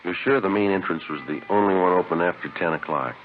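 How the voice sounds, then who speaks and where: Very deep, deadpan police sergeant played by a man. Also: fast, over music, close to an everyday voice. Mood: businesslike. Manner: deadpan, clipped. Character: terse, deadpan police detective sergeant, methodical and courteous. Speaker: lead actor and narrator in a radio police drama. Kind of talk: 1950s radio drama acting, terse first-person narration. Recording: muffled vintage radio recording.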